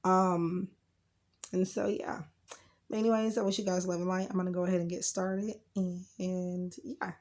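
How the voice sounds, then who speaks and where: Woman with a slightly rough, under-the-weather voice. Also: fairly low pitch, flat delivery, moderate pace, darkish tone. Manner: rambling, informal. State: getting over sickness, slightly rough voice. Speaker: tarot card reader and channel host. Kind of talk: casual unscripted pick-a-card tarot reading.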